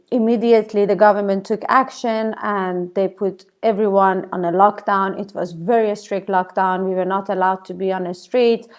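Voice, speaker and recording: Iranian-accented woman, gravelly voice. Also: mid-range voice. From Iran. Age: young adult. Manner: measured, with frequent ums. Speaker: podcast guest. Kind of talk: casual interview answers. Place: home in South Africa, remote call.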